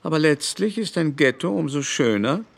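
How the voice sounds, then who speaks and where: A man's voice: a little high, expressive, normal speed, vocal fry. A man playing a haughty, affected baron in a literary radio drama, with a pompous, declamatory manner. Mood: affected, sly. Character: haughty aristocratic baron, affected, brusque and venomously bigoted.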